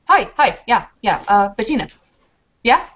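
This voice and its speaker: A woman's voice: a little high, big pitch swings, measured pace, fairly bright tone. A woman in a tabletop roleplaying game, switching between speaking as her character and narrating what she does, hesitant and full of ums. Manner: hesitant, with many ums and uhs. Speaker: tabletop player. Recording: muffled lo-fi recording.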